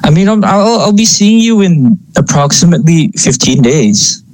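A man co-hosting a casual podcast over a remote call, talking in a relaxed, halting way with lots of fillers. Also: a little high, dry recording, low-quality recording. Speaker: podcast co-host. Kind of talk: casual chat.

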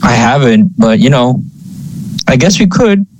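A man's voice: mid-range voice, animated, measured pace, a little hiss or hum, poor audio. Rambling young man co-hosting a chat. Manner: rambling, hesitant, lots of fillers. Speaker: podcast co-host. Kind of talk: casual chat.

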